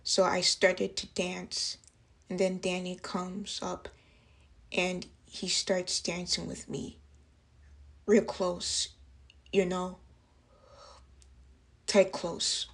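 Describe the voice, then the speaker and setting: A woman playing a traumatized young waitress in a dramatic monologue, speaking haltingly in broken phrases. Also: fairly low pitch, full character voice. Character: traumatized young working-class waitress recounting an assault. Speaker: actress performing a film monologue.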